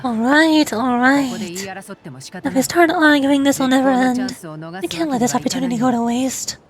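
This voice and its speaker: Earnest stubborn young tribe girl, streamer lady reading her lines, high but a bit softer. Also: moderate pace, a crowd in the background, lightly acted. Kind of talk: fan reading of game dialogue.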